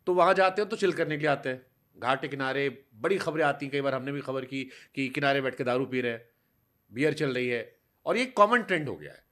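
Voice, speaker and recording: A man's voice: a little high, a bit bright. A man interviewing a guest, asking long, wandering questions with English phrases mixed in and often humming in agreement.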